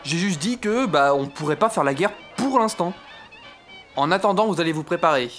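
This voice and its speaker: An actor playing a pompous, easily exasperated French army captain of the First World War in a comic audio drama, speaking in a theatrical, declamatory way. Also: male voice, on the high side, expressive, normal speed, fairly bright tone, soft background music. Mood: indignant.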